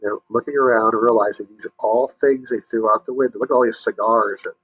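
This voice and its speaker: A man reading a story aloud to young listeners, pausing to explain and ask questions. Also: lowish voice, fairly even intonation, dull audio. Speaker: storybook reader, teacher.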